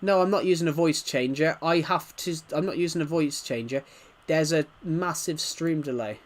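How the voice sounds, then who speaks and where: Male livestream host, slightly high-pitched, casual gamer chat, rambling and stuttering as he reads out comments. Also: normal speed.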